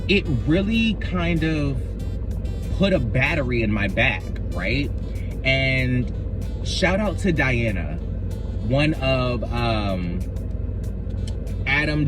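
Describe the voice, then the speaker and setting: A man talking casually in a vlog, with a big, expressive voice, rambling and repeating himself as he reacts. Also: medium pitch, rough recording. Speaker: YouTuber.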